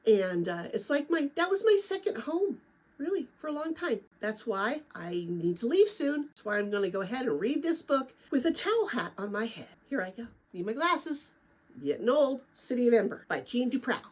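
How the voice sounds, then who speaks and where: Lively older woman, high husky voice. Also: normal speed. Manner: chatty, animated, rambling with personal asides. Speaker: retired teacher reading a children's book aloud. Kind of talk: informal chat and storybook read-aloud.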